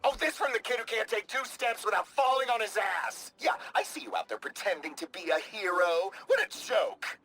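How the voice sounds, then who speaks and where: A sneering, insecure game villain. The voice is piercing and swoops theatrically, full of bombast, with light music behind. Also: male voice, high-pitched, very animated, fairly quick, hardly any pauses, sharp tone, full character voice. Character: pompous, insecure male villain, sneering and easily flustered. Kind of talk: animated game cutscene acting.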